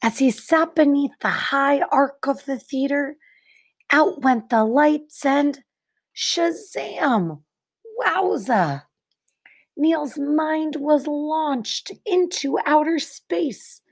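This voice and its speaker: A woman reading a picture book aloud like a teacher, expressively, with deliberate dramatic pauses and emphasis for young listeners. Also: high voice, lively intonation. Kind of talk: expressive children's read-aloud narration.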